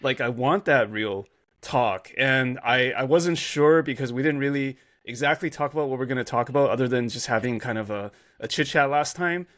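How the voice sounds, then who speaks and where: A man hosts a casual interview show, speaking at a middling pitch. He talks in a loose, emphatic way and swears casually when he gets excited. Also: normal speed. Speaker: podcast host.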